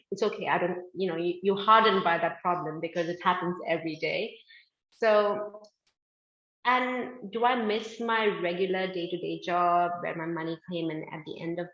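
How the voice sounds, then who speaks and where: A woman's voice: lowish voice, roomy sound, dull audio. A woman from India, a business founder, talking casually in an interview with lots of "like" fillers. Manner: chatty, many "like" fillers, upbeat. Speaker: guest, fashion manufacturing founder.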